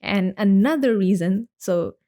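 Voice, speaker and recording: A woman's voice: mid-range voice, unhurried, warm tone. Casting: young woman from Indonesia, podcast host, chatty and rambling, casual solo talk. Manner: chatty, rambling, with many likes and restarts.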